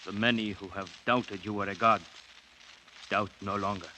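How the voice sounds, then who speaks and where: Low, intense, solemn servant. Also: male voice, fairly even intonation, normal speed, sharp tone. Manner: solemn, formal, deferential. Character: grave, loyal native interpreter serving his god-king master. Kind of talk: 1950s radio drama acting. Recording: faintly noisy 1950s radio recording.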